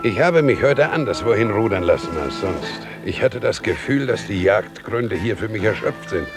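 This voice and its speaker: A man acting in a German radio play as a thoughtful, cautious expedition member with a deliberate delivery. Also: lowish voice, expressive, music in the background, close to an everyday voice. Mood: musing.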